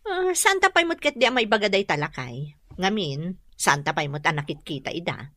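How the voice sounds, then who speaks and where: An actress playing a suspicious, bossy neighbour in an Ilocano radio drama. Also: female voice, on the low side, very animated, normal speed, sharp tone, dry recording, heavily acted.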